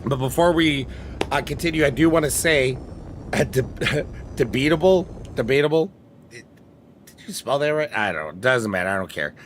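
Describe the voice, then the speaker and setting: A man hosting a live stream in a casual chatty way, his voice raspy as he recovers from a long trip. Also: mid-range voice, expressive, normal speed, long pauses, slight background noise. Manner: theatrical greeting, then rambling chatty asides. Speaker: streamer host.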